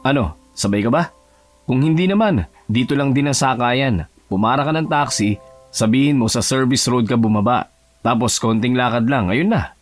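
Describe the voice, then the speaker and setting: A man's voice: medium pitch, normal speed, faint music behind, natural voice. A man playing a friendly, talkative young office worker in an audio romance drama, casual and reassuring. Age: young adult.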